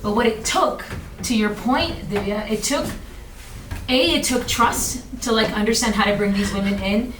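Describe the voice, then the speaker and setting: A woman giving an informal panel answer in a halting way, listing points with emphasis. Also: fairly high pitch, fairly bright tone. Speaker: panelist, advocate for women entrepreneurs. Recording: echoey room, distant mic, clear noise.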